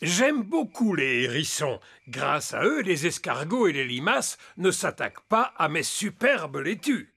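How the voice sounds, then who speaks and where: An actor playing a kindly old grandpa pig in a preschool cartoon, explaining things gently to children. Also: male voice, a little high, very animated, measured pace, gravelly, hardly any pauses, breathy edge, heavily acted. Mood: cheerful, informative. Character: kindly old grandpa pig who loves his garden. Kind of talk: dubbed preschool cartoon acting.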